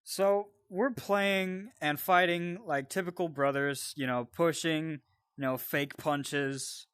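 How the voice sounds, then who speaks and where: A man casually telling a personal story in an animated, sweary way, often wandering off into side details. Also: fairly high pitch, slow, clear, resonant tone, no echo, clean studio sound. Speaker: storyteller and co-host.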